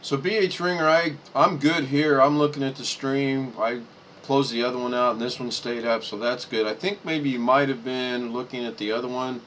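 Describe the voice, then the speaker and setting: A man's voice: medium pitch, fairly even intonation, moderate pace, fairly bright tone, slight background noise, rough recording. A man hosting a casual video chat, talking easily while he works through the screen. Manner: easygoing, talks while working the screen.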